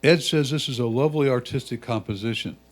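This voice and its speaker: A man reading written critique aloud in a measured, deliberate way. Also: mid-range voice. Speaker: reader of judges' comments.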